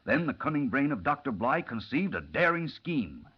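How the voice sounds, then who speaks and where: A muffled old-time radio recording of a male narrator. He has a slightly rough voice at medium pitch and tells the story in formal, dramatic, suspense-laden phrases. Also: big pitch swings, moderate pace. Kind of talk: 1940s radio scripted narration.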